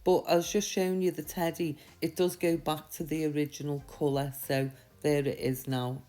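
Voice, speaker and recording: Low, croaky woman. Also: flat delivery, moderate pace, warm tone. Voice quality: slightly croaky. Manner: informal, chatty, reassuring. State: mild sore throat. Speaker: nail art tutorial host. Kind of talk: casual step-by-step tutorial voice-over.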